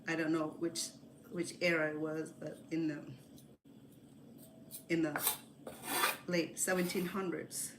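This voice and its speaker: A woman's voice: low voice, level tone, takes it slowly, pauses often. A woman from Cambodia chats casually as she cooks, rambling and correcting herself as she goes. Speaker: home cook hosting a livestream. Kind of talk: casual chat while cooking. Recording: echoey kitchen, clear background noise.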